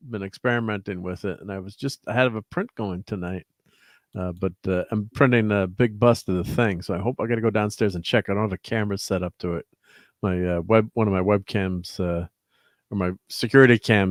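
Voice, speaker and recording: A man's voice: fairly low pitch, darkish tone, no echo, studio quality. A man hosting a casual livestream, rambling through chat names and laughing often. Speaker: host.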